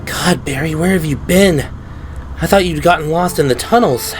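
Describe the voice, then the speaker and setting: A man's voice: on the high side, moderate pace, pitched above the performer's own voice, natural voice. A man voicing a tough, determined young policewoman in an audio drama, urgent and resolute, with music behind. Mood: relieved, surprised.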